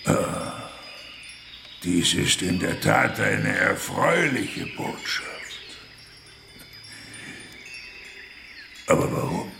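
An actor playing a weary old chieftain in a German radio drama, grave and halting, with a gravelly, breathy voice. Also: male voice, fairly low pitch, animated, bright, forceful tone, full character voice. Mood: searching, puzzled. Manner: slow, halting, deliberate. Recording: echoey, with clear background noise.

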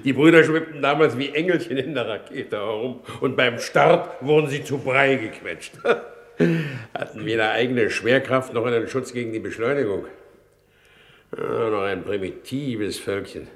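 A man's voice: mid-range voice, a little slow, lightly acted. A man playing a blustering, chatty government minister given to exclamations, in a 1950s radio drama. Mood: jovial, impressed. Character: jovial, blustering war minister marvelling at space travel. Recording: roomy, echoing vintage radio studio.

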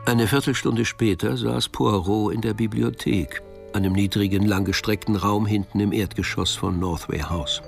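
Male narrator, radio mystery. Lowish pitch, creaky texture, composed literary read. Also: fairly even intonation, soft background music. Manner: measured, literary storytelling. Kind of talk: scripted narration of a radio crime drama.

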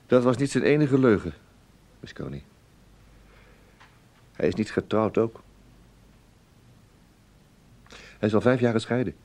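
An actor playing a tactful, dryly ironic intelligence officer in a Dutch radio play, speaking politely and a little hesitantly. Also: male voice, mid-range voice, lively intonation, moderate pace, mellow, close to an everyday voice. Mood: tactful, reluctant. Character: wry, tactful male intelligence officer breaking bad news. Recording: noisy old radio drama recording.

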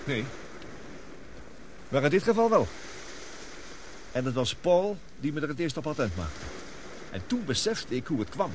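An actor playing a gloomy, guilt-ridden scientist in a radio play, speaking gravely and deliberately. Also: male voice, on the high side, very animated, slight background noise. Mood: grim. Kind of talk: radio drama acting.

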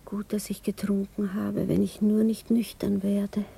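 An actress playing a tender, insecure woman in love in a radio play, asking softly and pleadingly. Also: medium pitch, level tone, measured pace, vocal fry, a little hiss or hum. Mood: uneasy. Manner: soft, pleading, questioning. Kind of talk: German radio play acting.

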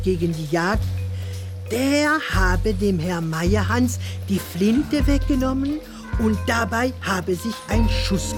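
An actress playing a chatty, gossipy farm woman in a radio play, with a French-tinged Alsatian accent in her German and French phrases slipped in. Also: lowish voice. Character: gossipy village farm woman, plain-spoken neighbour in the cowshed.

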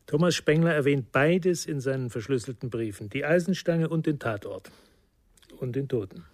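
An actor playing a quiet, persistent therapist turned sleuth in a radio crime drama, speaking in short, guarded sentences. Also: male voice, medium pitch, moderate pace, dry recording, clean studio sound, natural voice. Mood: guarded, insistent.

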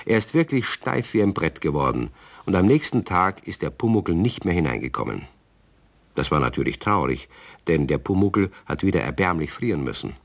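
An older man narrating a children's radio play in a calm storytelling manner. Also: low-pitched, moderate pace.

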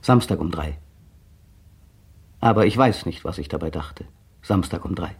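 A brooding, bitter monologuist. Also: male voice, sits low, normal speed, breathy edge, full character voice. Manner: brooding, bitter, precise diction. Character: tense bitter man brooding on his partner. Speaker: male narrator of a radio play. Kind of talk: 1960 radio drama inner monologue.

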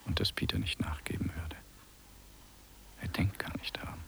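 An actor playing a resentful, aloof visitor, a man musing to himself in a hushed radio play monologue. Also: normal speed, whispered, lightly acted. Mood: resentful, brooding.

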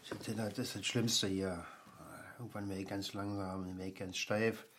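A male actor plays a flustered, frail old man. He fumbles through his words in a breathy, halting mutter and grumbles as he goes. It is a naturalistic radio drama with clear noise around him. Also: deep voice, little pitch movement, close to an everyday voice. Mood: agitated, resigned. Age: older.

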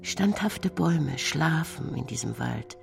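A pensive night-dwelling woman in a radio play. Her low voice crackles with fry as she recites in measured poetic fragments, over clear music. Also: lively intonation, full character voice. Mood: pensive, dreamy.

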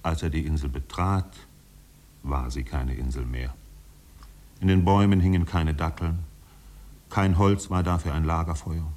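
An actor playing a solemn literary storyteller, narrating a 1950s German radio drama in a measured, dramatic manner. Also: male voice, a little mellow. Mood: measured, wistful. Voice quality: deep, husky.

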